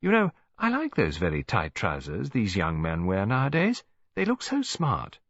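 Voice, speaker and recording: A man voicing a kindly elderly English grandmother, a chatty, gently scheming matchmaker in an audiobook story. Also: lowish voice, huge pitch swings, moderate pace, slightly rough, dull audio, heavily acted. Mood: fond. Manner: gentle, chatty, reasonable, fond.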